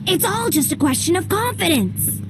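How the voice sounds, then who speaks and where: A woman voicing a confident, excitable young animal football player in an animated series, over very loud music. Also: very high voice, moderate pace, full character voice, poor audio. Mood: reassuring. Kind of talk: animated series dub acting.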